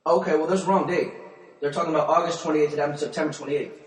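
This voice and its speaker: A man playing an argumentative, pedantic defendant who presses questions in halting, wordy legal phrasing. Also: on the high side, fairly even intonation, close to an everyday voice. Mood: challenging. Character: argumentative, pedantic male defendant insisting he is sane. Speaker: client defendant arguing with his lawyer. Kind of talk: confrontational legal questioning in conversation. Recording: distant mic in a reverberant, echoey room.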